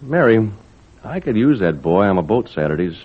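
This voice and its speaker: A man playing a kindly, easygoing lobster fisherman in an old-time radio drama, folksy, gentle and a little hesitant. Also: lowish voice, very animated, normal speed, breathy edge. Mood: casual persuading. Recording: narrow, tinny old radio recording.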